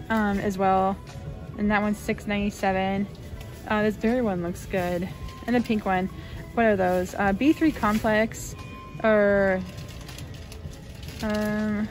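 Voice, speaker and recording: A young woman filming a shopping vlog in a store aisle, chattily reading out product labels and prices with lots of fillers. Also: mid-range voice. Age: young adult. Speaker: shopping vlogger. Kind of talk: casual shop-with-me narration.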